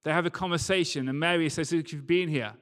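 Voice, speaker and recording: A man's voice: on the high side, fast talker, a bit bright. A man preaching a sermon, reading scripture and talking it through in a conversational, informal way. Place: large reverberant hall, church service. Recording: long hall reverb.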